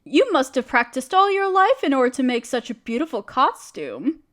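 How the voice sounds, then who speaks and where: A woman's voice: sits high, sing-song, moderate pace, fairly bright tone. A woman playing a friendly, genteel witch who chats kindly in quaint, dainty phrases, in an audio roleplay. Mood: warm, admiring. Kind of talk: scripted audio roleplay speaking to the listener.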